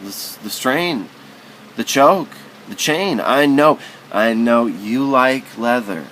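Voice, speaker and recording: A man giving a literary spoken-word recitation in a theatrical, halting, wordy way, stumbling and repeating himself. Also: mid-range voice, animated, a bit bright, slight background noise, rough recording.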